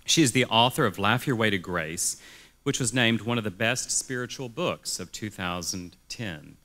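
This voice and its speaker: A man's voice: fairly low pitch, lively intonation, normal speed, sharp tone. A man serving as emcee, reading a formal, upbeat introduction of the guest speaker at a luncheon.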